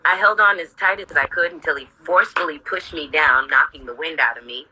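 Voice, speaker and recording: A woman with a husky voice reading her own memoir aloud informally, candid, sardonic and sweary, breaking off for asides. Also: low voice, a little fast, pushed and bright, slight background noise, low-quality recording.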